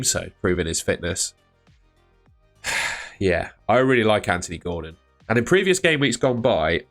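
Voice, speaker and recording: A man's voice: lowish voice, fairly bright tone. A man with a cold, hosting a fantasy football video in a chatty, thinking-aloud way. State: has a cold. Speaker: fantasy football channel host. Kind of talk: casual solo video commentary.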